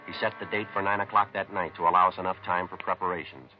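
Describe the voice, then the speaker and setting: An actor playing a terse American police sergeant in a 1950s radio drama, clipped, deadpan and matter-of-fact. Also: male voice, sits low, fast, close to an everyday voice. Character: businesslike, terse male police sergeant detective. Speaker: lead actor and narrator. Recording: dull 1950s radio recording, music behind.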